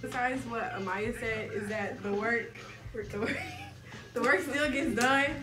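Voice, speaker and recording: A woman's voice: a little high, unhurried, music in the background. Slangy young woman, roomy far sound. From Tennessee. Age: young adult. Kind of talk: casual chat.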